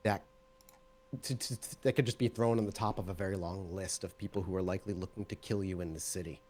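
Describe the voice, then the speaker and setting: A man's voice: low voice, fairly even intonation, fast, a little mellow, a little hiss or hum. Male game master narrating a scene. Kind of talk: narrating a tabletop roleplaying game.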